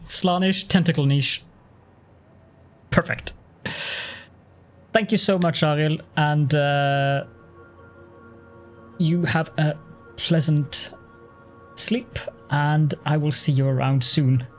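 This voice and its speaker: Slow-talking man streaming a game. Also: on the high side, fairly even intonation, faint music behind. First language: Norwegian. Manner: chatty, hesitant, lots of fillers and restarts, chuckles often. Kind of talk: casual live-stream chat while playing.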